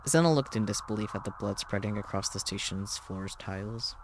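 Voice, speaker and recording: Low-voiced man narrating a visual novel. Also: fairly quick, heavy background noise. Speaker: streamer reading a visual novel aloud. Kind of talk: dramatic story narration for a game stream.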